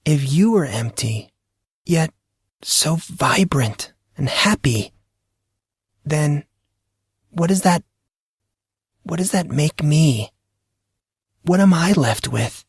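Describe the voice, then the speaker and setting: A man's voice: a little high, expressive, slow pace, long pauses, breathy edge, no room sound, studio quality, pitched above the performer's own voice, exaggerated voice. A man voicing a guarded, emotional teenage girl in an audiobook drama, pleading and questioning in a wounded way. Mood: hurt.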